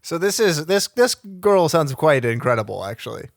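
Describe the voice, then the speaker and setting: A man in casual comedic panel chat, speaking in a halting, polite way. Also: a little high, sing-song, creaky. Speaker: table read participant.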